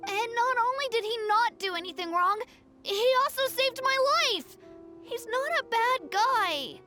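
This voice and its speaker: A woman's voice: very high voice, expressive, unhurried, sharp tone, soft background music, heavily acted. A woman voicing an earnest young village boy in video game dialogue, eager and childlike. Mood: pleading.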